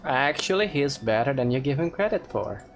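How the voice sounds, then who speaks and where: A woman hosts a gaming video in a low voice with lively swings. Her commentary is casual, informal and slightly hesitant, with faint music behind her. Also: moderate pace. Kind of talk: casual let's play commentary.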